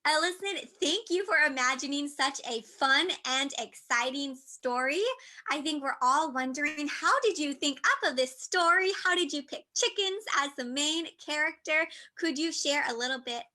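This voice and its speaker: A woman's voice: high-pitched, moderate pace. A woman moderating an online book panel, upbeat and encouraging as she asks a young author questions. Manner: upbeat, encouraging, talks gently to a child.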